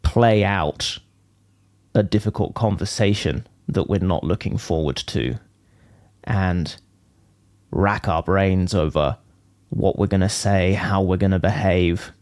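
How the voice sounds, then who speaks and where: Male meditation teacher, unhurried slow delivery, deliberate pauses, dry close sound, guided spiritual instruction. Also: deep voice, a little mellow, dry recording. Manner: measured, deliberate phrasing with frequent pauses.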